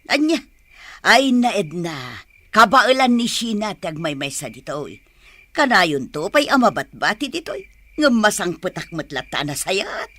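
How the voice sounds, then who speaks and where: A woman's voice: medium pitch, very animated, a little slow, bright, forceful tone, heavily acted. A woman playing a wily, dismissive, hard-living grandmother in an audio drama, with theatrical delivery. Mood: scornful, brusque. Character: wily grandmother who drinks, gambles and neglects her grandchild.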